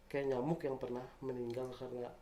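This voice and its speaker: A man's voice: medium pitch, level tone, a little fast, reverberant, a crowd in the background. A young man with a Jakarta accent in a casual comedic podcast chat, explaining things in a playful, mock-earnest way as a guest. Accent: Jakarta Indonesian.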